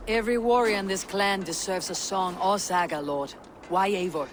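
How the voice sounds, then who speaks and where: An actress playing a blunt, commanding Viking clan warrior in a video game, with a terse, dry delivery. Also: female voice, medium pitch, normal speed, slight background noise, lightly acted. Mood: blunt, guarded. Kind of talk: video game character acting.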